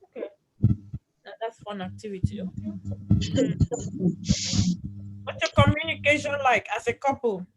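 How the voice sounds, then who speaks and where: A woman's voice: fairly high pitch, wildly swooping pitch, moderate pace, pauses often, over music. A woman hosting a couples panel at a live event, playfully putting questions and echoing answers back with exclamations. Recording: noisy live event recording with clicks.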